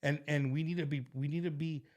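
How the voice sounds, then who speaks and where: Texan host guy, kinda high voice, loose and animated, lots of restarts and "bro". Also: fairly quick. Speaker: show host. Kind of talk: casual chat with a guest.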